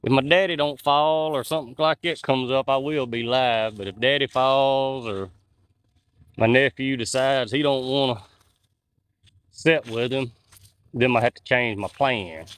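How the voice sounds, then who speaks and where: A man's voice: mid-range voice, a little slow, a bit bright, no echo. A man, chatting casually with viewers while livestreaming on a walk through the woods at night, talking into a phone on a handheld gimbal. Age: 40s. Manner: chatty, folksy, reads out viewer comments. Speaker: outdoors livestreamer. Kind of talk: casual live-stream chat with viewers.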